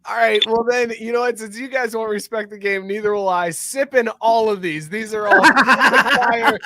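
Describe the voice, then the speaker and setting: A man's voice: high-pitched, normal speed, crowd noise. A man hosting a casual sports banter podcast, talking with enthusiasm and plenty of slang and swearing. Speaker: podcast host.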